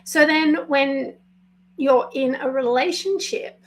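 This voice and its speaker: A woman life coach speaking live and unscripted, her voice husky today, talking slowly and choosing her words with care. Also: sits high, rough recording. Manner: slow, pausing, careful with words, apologetic. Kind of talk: spontaneous live video talk.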